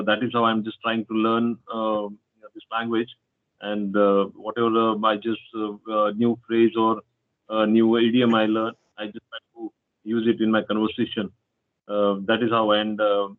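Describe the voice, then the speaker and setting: Indian guy, kannada native, lots of uhs, dry phone-like sound, pretty monotone. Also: lowish voice, dry recording. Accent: Indian English. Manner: hesitant, many fillers. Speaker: YouTuber and host. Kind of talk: casual video call chat. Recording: tinny phone-like call audio.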